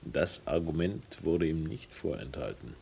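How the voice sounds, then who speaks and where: An actor playing a composed, polite investigator figure in a German radio play, dry and understated. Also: male voice, rumbling low, animated, measured pace, gravelly, darkish tone, breathy edge, heavily acted.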